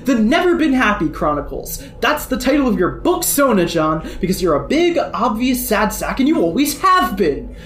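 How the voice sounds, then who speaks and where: Woman as a brash, sarcastic troll girl, swooping lively delivery, roomy echo. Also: mid-range voice, natural voice. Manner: sarcastic, taunting, swears freely. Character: cocky brash female troll gamer. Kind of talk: amateur audio drama fandub. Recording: echoey room with faint noise.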